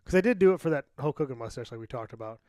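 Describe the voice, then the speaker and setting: A man of thirty, co-hosting a casual podcast and telling profane stories in a quick, dry close-mic sound. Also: mid-range voice, animated, darkish tone, no echo. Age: 30s. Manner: profane storytelling with acted quotes. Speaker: podcast co-host.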